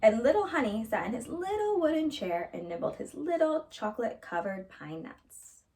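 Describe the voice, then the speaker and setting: A woman reading a children's storybook aloud as a storyteller, in an expressive narrating style. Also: on the high side, moderate pace.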